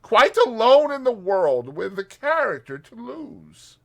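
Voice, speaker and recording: A man's voice: very high voice, sing-song, takes it slowly, vocal fry, voice pushed up, full character voice. A man voicing a teasing, bossy young woman with a giggly manner in a storybook. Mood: brisk, advising.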